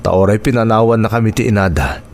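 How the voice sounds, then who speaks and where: Radio drama casting: low-voiced Ilocano family man, humble and burdened, played by a male actor, faint noise. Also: fast, lightly acted. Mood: troubled, humble. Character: humble widowed Ilocano father, grateful and troubled.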